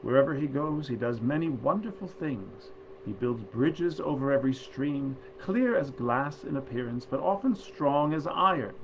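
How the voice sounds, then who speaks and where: Gravelly-voiced man presenting stories, noisy recording. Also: mid-range voice, expressive, moderate pace, dull audio. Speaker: actor hosting a literary reading. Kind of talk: informal introduction, then storybook reading aloud.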